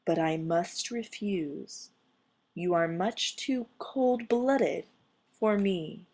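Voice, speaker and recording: A woman's voice: lowish voice, extremely slow, darkish tone, heavily acted. A woman voicing a proud, dainty young female cockroach in a storybook, with a dry, ladylike manner. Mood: icy.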